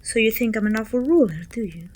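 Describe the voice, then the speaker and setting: A woman playing a pompous, self-pitying evil queen who talks down to her servant in an intimate, breathy ASMR roleplay, often dropping toward a whisper. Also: a little high, expressive, fast talker, darkish tone. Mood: coolly menacing. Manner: pompous, petulant, theatrical sighing complaints.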